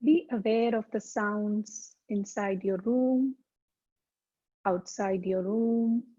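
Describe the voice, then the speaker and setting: Slow, mellow woman guiding meditation. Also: mid-range voice. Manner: calm, deliberate, soothing pauses. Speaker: yoga teacher. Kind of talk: guided meditation, slow instruction. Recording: dull, muffled lo-fi sound.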